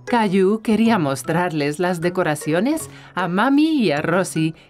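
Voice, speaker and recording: A woman's voice: fairly low pitch, expressive, measured pace, darkish tone, soft background music. A woman narrator reading a scripted children's story.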